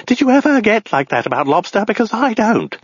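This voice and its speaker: High-pitched hysterical babbling madman. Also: big pitch swings, a little fast, husky, no room sound, lo-fi sound, exaggerated voice. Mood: babbling, incredulous. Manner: hysterical, rambling, obsessive, babbling. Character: frantic babbling madman, lone crash survivor. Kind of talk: audiobook character voice in comic science fiction.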